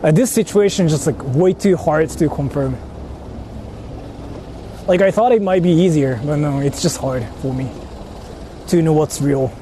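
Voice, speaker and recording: A man's voice: fairly high pitch, normal speed, long pauses. A man from Japan chatting casually to livestream viewers in slangy, repetitive phrases, out on a city street. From Japan (Ichihara). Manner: repeats himself, informal slangy talk. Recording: noisy urban street with traffic.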